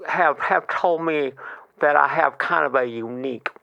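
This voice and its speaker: A man voicing a goofy, excitable man with a quirky accent who gushes, stammers and jumps into squealing high notes. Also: measured pace, gravelly, highs cut off, full character voice. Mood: gushing. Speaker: show's honorary member.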